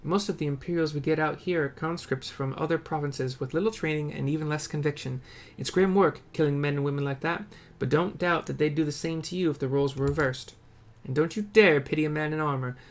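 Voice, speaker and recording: A man's voice: fairly high pitch, brisk, lightly acted. A man voicing a battle-hardened woman fighter from a game, stern and resolute, with a firm delivery and a shifting put-on accent. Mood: grim, resolute. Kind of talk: voiced game dialogue read aloud.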